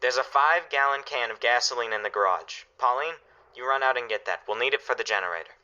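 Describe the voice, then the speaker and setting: A man's voice: medium pitch, fast, pushed and bright, clear, resonant tone. An actor playing a commanding father in a radio drama, firm and reassuring as he directs his family in a crisis. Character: steady, commanding family father preparing for disaster. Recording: dull, band-limited recording.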